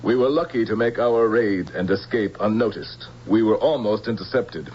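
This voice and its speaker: A grave, determined general played by a man in a science fiction radio play. He presses each point with measured, theatrical emphasis. The sound is dull and old, with light hum and hiss underneath. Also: on the low side, natural voice. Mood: grave, reporting. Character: grave, resolute male general of a future army. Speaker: radio drama actor. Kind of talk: theatrical radio drama acting.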